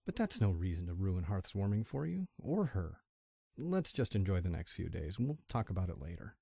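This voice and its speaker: Man playing a kindly, gravelly stallion dad. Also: low-pitched, big pitch swings, normal speed, warm tone, dry recording, studio quality, heavily acted. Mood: gently serious. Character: kindly middle-aged stallion father, jovial and fatherly. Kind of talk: amateur audio drama acting.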